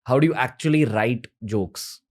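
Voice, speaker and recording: A man's voice: medium pitch, normal speed, a little mellow. A young man hosting a podcast, asking questions in a casual way, often calling his guest dude and laughing along. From India. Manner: casual, says "dude", laughs a lot, short backchannels. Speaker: podcast host. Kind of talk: casual interview chat.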